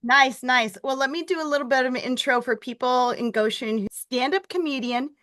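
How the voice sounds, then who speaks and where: A woman's voice: high-pitched, moderate pace, a bit bright. A woman hosting an upbeat interview, bubbly and enthusiastic with lots of exclamations. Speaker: interview host.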